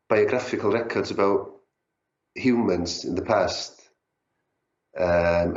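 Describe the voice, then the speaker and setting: North Welsh man, very deep voice, reflective musician interviewee; muffled roomy recording. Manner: reflective, halting. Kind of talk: interview answers. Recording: roomy, dull lo-fi audio.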